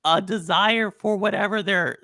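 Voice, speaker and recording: A man's voice: high-pitched, moderate pace, bright, forceful tone, dry recording, studio quality. A man hosting a casual podcast chat, who argues his point while stumbling and restarting his phrases.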